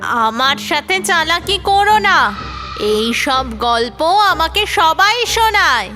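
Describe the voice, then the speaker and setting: A woman voicing a thirsty, menacing and sorrowful female witch ghost in a cartoon, with a drawn-out, demanding way of speaking. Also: very high-pitched, big pitch swings, pushed and bright, faint music behind, exaggerated voice. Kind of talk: cartoon voice acting.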